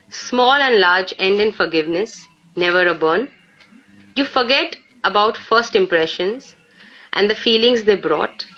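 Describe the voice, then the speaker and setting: A woman with an Indian accent speaking reflectively on a live poetry session, in a halting way peppered with "you know". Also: medium pitch, fairly bright tone. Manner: halting, peppered with 'you know'. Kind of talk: casual reflective chat and poetry recitation. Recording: dull lo-fi live stream audio.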